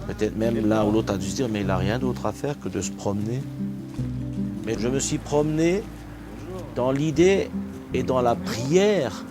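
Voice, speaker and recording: A pastor speaks slowly and deliberately, weighing his words as he tells his story. Loud guitar music plays behind his voice throughout. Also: medium pitch. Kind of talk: testimony.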